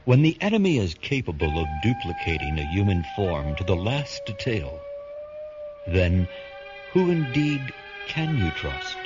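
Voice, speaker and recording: A man hosting a radio drama series, reading scripted narration and credits with measured, dramatic phrasing. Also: on the low side, wildly swooping pitch. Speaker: series host and announcer. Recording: dull radio recording under loud music.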